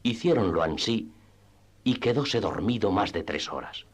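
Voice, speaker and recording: A man's voice: on the low side, lively intonation, measured pace, slightly rough. A man narrating a classic literary tale for radio theatre in a scripted storytelling style.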